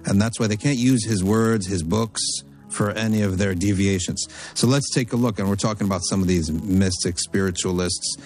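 Low-voiced man giving an emphatic religious lecture. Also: steady tone, normal speed, darkish tone, soft background music. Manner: emphatic, repeats points for effect; reads classical Arabic aloud and translates line by line. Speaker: religious teacher.

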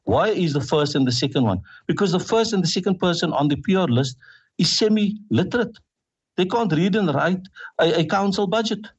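South African male councillor, husky, pleading and emphatic, muffled lo-fi audio. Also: high voice, expressive, a little fast. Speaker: city councillor and party leader. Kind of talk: radio interview, political appeal.

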